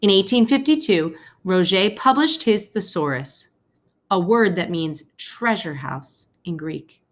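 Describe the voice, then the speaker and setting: A woman teacher reading a picture book aloud as a storybook narrator, phrasing deliberately with pauses for young listeners. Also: on the low side, expressive.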